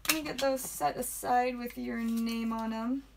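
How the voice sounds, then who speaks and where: A woman hosting a live card pack-opening stream, chatting playfully and saying sound effects aloud, with a calming voice. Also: a little high, unhurried, creaky, slight background noise. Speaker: trading card stream host. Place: indoor room with whiteboard.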